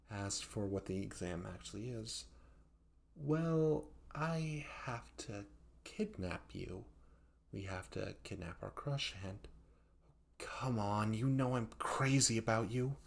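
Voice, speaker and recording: A man's voice: fairly low pitch, vocal fry. A man voicing a hapless, sweet but clingy young yandere student in a comedic audio roleplay, flustered, stammering and pleading. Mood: sheepish confiding.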